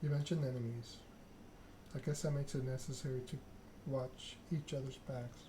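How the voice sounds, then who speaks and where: A man's voice: medium pitch, steady tone, moderate pace, noisy background. A man doing an ASMR read-aloud of a narrative game, occasionally stumbling over the written text. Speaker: gaming channel host.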